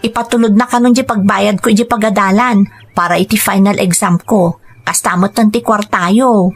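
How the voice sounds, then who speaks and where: An actress playing a dutiful, hopeful young college student in a radio drama, with a Filipino accent. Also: female voice, medium pitch, steady tone, moderate pace, faint music behind, lightly acted. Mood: eager. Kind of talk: Ilocano radio drama acting.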